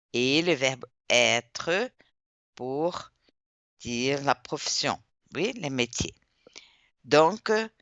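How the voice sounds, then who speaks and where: Slow, patient male language teacher. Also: on the high side, sharp tone, clear, resonant tone, dry recording. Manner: slow, patient, repeats words to drill students. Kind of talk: classroom instruction.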